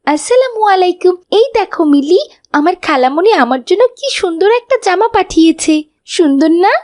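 A spirited little schoolgirl from a children's cartoon. The voice sits extremely high. She is chatty, expressive and quick to get excited or cross. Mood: excited, cheerful. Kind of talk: animated children's cartoon dialogue.

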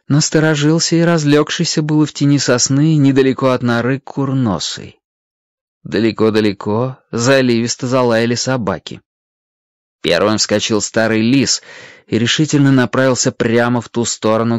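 A man narrating a scripted children's story in a measured, expressive way. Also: mid-range voice, no echo.

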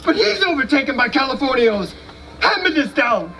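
A man's voice: very high voice, big pitch swings, normal speed, sharp tone, exaggerated voice. A man playing a proud, folksy, scornful American soldier in a live historical battle dramatization. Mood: alarmed. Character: proud, folksy American soldier boasting about his army. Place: outdoor stone amphitheater, far camera. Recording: distant outdoor recording with noise.

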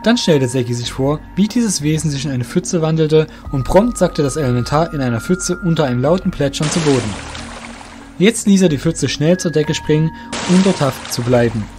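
Higher-pitched male storyteller over music. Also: animated, moderate pace. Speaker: narrator. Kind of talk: scripted storytelling narration.

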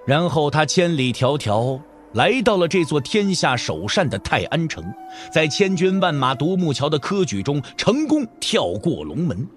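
A man's voice: on the high side, very animated, moderate pace. A man narrating a dramatic audio drama as a scripted storyteller. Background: background music.

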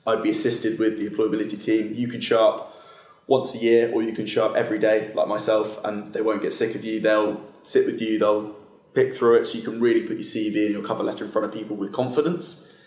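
A man's voice: fairly low pitch, flat delivery, fast, a little mellow, echoey room. A young man, a student, talking earnestly and fluently on a university open day panel, recorded by a camera across a meeting room. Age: young adult. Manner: earnest, fluent, with some fillers. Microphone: far camera.

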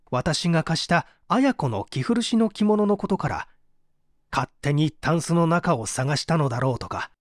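Man acting a despairing, expressive painter, higher-pitched. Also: no echo, clean studio sound. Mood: earnest, troubled. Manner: confessional, expressive, formal narrative delivery. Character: despairing, bitter male Japanese painter giving testimony. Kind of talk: dramatic audiobook reading.

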